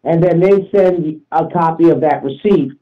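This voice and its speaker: A man's voice: fairly high pitch, moderate pace. A man in casual opinionated discussion, talking bluntly and insistently, with profanity, on a dull lo-fi line. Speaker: talk show participant.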